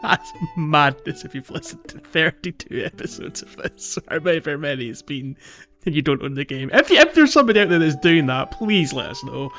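A man's voice: on the high side, lively intonation, brisk, few pauses, pushed and bright, faint music behind. A man co-hosting a podcast, chatting casually. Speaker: podcast co-host. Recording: slightly dull lo-fi microphone.

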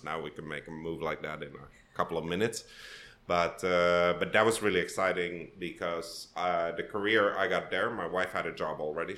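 Deep-voiced Finnish-raised man, echoey room. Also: a bit bright. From Finland. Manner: thoughtful, with frequent restarts and fillers. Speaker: podcast guest. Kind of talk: conversational interview.

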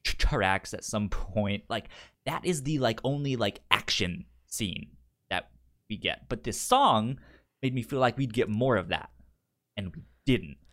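A man's voice: lowish voice, expressive, a little slow, a bit bright. A man in a casual film discussion as a podcast co-host, often stammering and repeating the first sounds of words.

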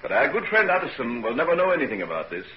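A man acting an earnest, secretive young gentleman in a 1940s radio drama. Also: mid-range voice, sing-song, very fast, muffled recording, close to an everyday voice. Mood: earnest, assured. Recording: noisy old 1940s radio recording.

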